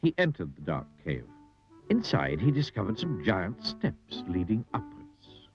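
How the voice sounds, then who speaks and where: Male narrator for animated children's tale. Theatrical, extremely animated delivery, slightly dull older-sounding audio. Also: lowish voice. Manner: theatrical, drawn-out emphasis on words. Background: music.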